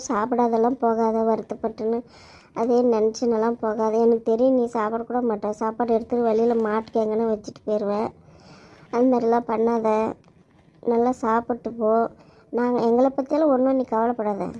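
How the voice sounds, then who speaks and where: A woman's voice: on the high side, flat delivery, fairly quick, mellow, dull audio, natural voice. A woman playing an anxious, loving mother who fusses tenderly as she sees off her soldier son. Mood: fretful, tender. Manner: tender, fussing, repeats advice. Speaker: actor in a family skit. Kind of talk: amateur family drama acting.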